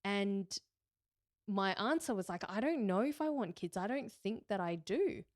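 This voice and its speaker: A woman's voice: mid-range voice, expressive, unhurried, a little mellow, no room sound, studio quality. Candid woman in her thirties. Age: 30s. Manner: candid, thoughtful, with fillers and self-corrections. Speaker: podcast host. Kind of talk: reflective solo podcast talk.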